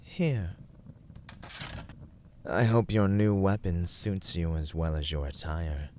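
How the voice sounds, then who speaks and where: Guy doing a smug young dungeon villain, asmr rp, deep voice, talks slow n deliberate, teasing and looking down on you. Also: steady tone, a little mellow, slight background noise. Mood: proud, commanding. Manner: condescending, deliberate, formal, teasing. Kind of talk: ASMR roleplay, scripted dramatic monologue.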